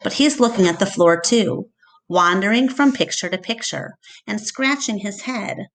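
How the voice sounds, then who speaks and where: A woman reading a storybook aloud to a class, with expressive, dramatic and deliberate phrasing. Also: mid-range voice, poor audio.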